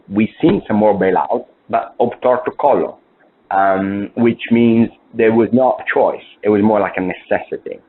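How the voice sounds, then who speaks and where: A low-voiced man with a husky edge speaks over a thin, phone-like line. He presents to investors on a conference call, often repeating words for emphasis and restarting sentences. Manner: fillers and restarts, emphatic repetition. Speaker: fund investment officer.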